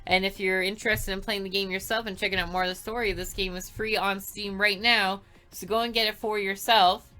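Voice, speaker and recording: Forceful woman reading a game aloud. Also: mid-range voice, fairly quick, few pauses, faint music behind. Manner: expressive reading with asides. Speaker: gaming video host. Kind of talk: reading a visual novel aloud.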